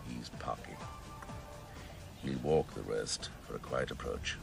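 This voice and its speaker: An actor playing a sardonic, domineering senior detective in a radio drama, speaking in an arch, commanding, clipped and gravelly way. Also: male voice, a bass voice, steady tone, measured pace, over music, exaggerated voice.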